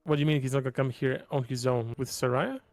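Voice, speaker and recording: A man's voice: medium pitch, fairly even intonation, fast talker, a little mellow. A man chatting casually and asking questions as a player in a tabletop roleplaying game.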